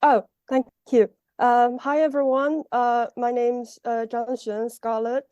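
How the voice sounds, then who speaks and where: A woman from China with a Chinese accent, a translator guest speaking earnestly and haltingly in a casual chat. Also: a little high, level tone, dark tone, dry recording, highs cut off.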